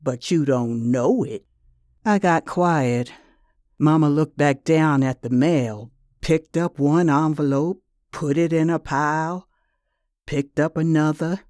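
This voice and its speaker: A woman narrating a first-person dramatic story in short, deliberate, reflective phrases. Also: deep voice, fairly even intonation, darkish tone, dry recording, studio quality.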